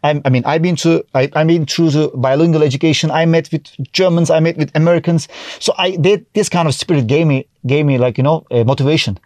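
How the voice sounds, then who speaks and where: A man with a Turkish accent, chatty and a bit high. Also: fast talker, darkish tone, no room sound. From Turkey. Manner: chatty, restarts often, acts out his own past remarks. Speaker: guest, TV host and comedian. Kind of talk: casual interview chat.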